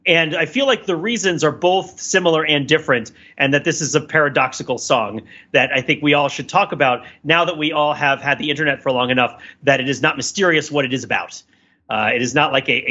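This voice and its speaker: A man's voice: a little high, sharp tone, slightly rough, lo-fi sound. A man co-hosting a podcast, talking casually in a discursive, analytical, wordy way. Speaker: podcast co-host. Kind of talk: casual discussion and cultural commentary.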